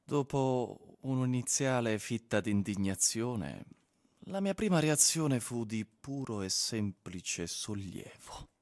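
An actor playing an embittered husband, giving a measured, brooding first-person monologue in a radio drama. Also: male voice, mid-range voice, animated, darkish tone. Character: embittered abandoned husband recounting his haunted house. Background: music.

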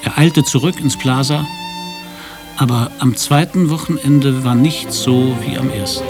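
A man's voice: mid-range voice, unhurried. Male radio drama narrator over music.

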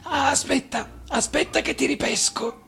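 A man voicing a worried old grandfather in a storybook reading, calling out loudly with drawn-out words. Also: very high voice, vocal fry, faint music behind, pitched above the performer's own voice, exaggerated voice.

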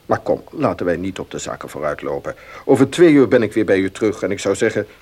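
A husky, expressive village doctor. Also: male voice, low-pitched, fast, heavily acted. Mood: frank, reassuring. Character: avuncular, frank male village doctor. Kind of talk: radio drama acting.

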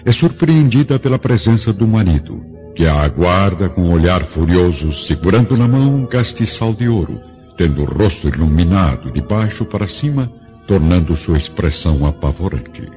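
A man's voice: low voice, lively intonation, moderate pace, mellow. A man narrating a radio drama from a script in a dramatic style. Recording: dull lo-fi audio with music behind.

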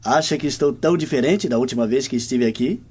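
A man's voice: medium pitch, fast talker, a little mellow, husky, lo-fi sound, close to an everyday voice. A man playing a genial, confident Roman nobleman in a radio drama. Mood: teasing, jovial.

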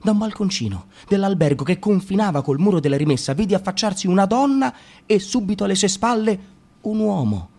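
A man narrating a literary radio drama in Italian, expressive and swinging from hushed to loud. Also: high-pitched, brisk.

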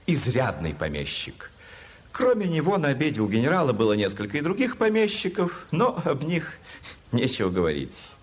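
Animated male literary narrator. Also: mid-range voice, moderate pace. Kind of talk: scripted literary narration, wry storytelling.

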